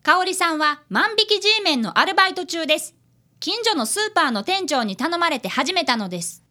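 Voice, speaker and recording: A woman's voice: very high voice, big pitch swings, brisk, pushed and bright, full character voice. A woman playing an earnest, polite fifth-grade boy detective apprentice in a radio drama, who also narrates. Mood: earnest narrating.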